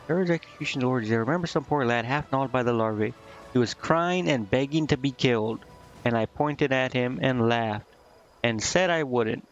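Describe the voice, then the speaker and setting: Man voicing female succubus, earnest, curious, musing, medium-pitched, game dialogue, faintly muffled with music underneath. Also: normal speed, natural voice. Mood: ashamed. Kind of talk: reading video game dialogue aloud.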